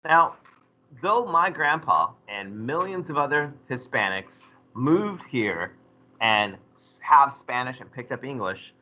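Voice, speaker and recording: A man teaching a history class, lecturing in a lively way and putting questions to his students. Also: medium pitch, slow, dull audio. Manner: animated, asks the class questions.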